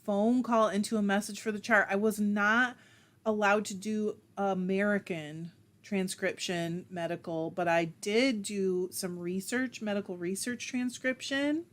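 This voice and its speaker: A woman hosting a casual livestream, reading viewer comments aloud and chatting back in a self-deprecating way, laughing often. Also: medium pitch. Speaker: livestream host.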